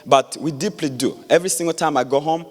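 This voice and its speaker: A man, giving an earnest public speech with many pauses and restarts. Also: a little high. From Democratic Republic of Congo. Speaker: guest speaker. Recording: echoey hall.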